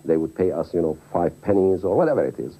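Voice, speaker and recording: A man's voice: deep voice, animated, fast talker, mellow, slightly rough, low-quality recording. A mature man from Palestine, recalling his life emphatically in an interview. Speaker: documentary interviewee. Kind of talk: personal recollection in interview.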